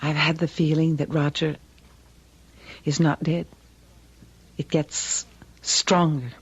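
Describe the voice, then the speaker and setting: An actress playing an anxious, wistful widow in an old-time radio drama, with a hesitant, emotive delivery. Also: female voice, deep voice, little pitch movement, slow pace, breathy edge, full character voice. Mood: wistful, troubled. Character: anxious grieving widow, wistful and stubbornly convinced. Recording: muffled vintage radio recording, music.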